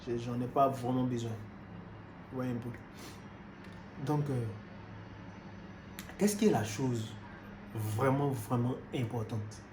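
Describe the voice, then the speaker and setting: A man from Cameroon, preaching a sermon in an animated way, moving from loud exclamations to quiet asides and repeating himself often. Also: mid-range voice, takes it slowly, long pauses. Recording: roomy echo with faint background noise.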